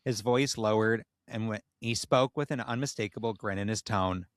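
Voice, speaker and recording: A man's voice: on the low side, moderate pace, no echo, clean studio sound. A man co-hosting a comedy podcast, reading a story aloud in dramatic narration. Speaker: podcast co-host. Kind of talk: comedic dramatic reading of erotic fan fiction.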